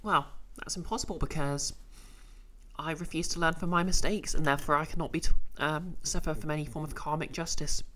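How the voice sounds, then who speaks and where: A woman's voice: sits low, steady tone, fairly quick, a bit bright, slight background noise. A woman streamer chatting casually with her viewers, voice a bit off from a cold, with dry, mock-pompous joking. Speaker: game streamer.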